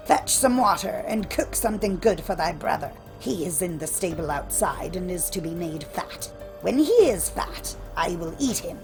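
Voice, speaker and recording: A wicked old witch growls low and slow, mocking her prey, as a woman storyteller puts her on. Faint music plays underneath. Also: lively intonation, rough recording. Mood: menacing. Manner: low, slow, menacing muttering.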